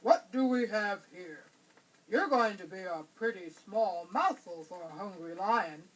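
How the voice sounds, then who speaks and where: A woman voicing a big, proud male lion who speaks slowly and boomingly in a storybook reading. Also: distant mic, lightly acted, low-quality recording. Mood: gloating.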